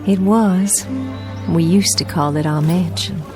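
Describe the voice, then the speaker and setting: A woman acts a genteel war widow. Her voice sits fairly low, gracious yet fragile and full of wistful reflection. Music runs under the radio drama. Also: fairly even intonation, unhurried, lightly acted. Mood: wistful, warm.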